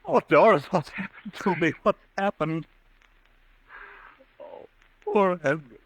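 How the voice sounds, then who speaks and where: An actor playing a tormented, halting and agitated man who doubts his own sanity, in old-time radio suspense style. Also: sits high, expressive, a bit bright, exaggerated voice, poor audio. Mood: frantic, distraught.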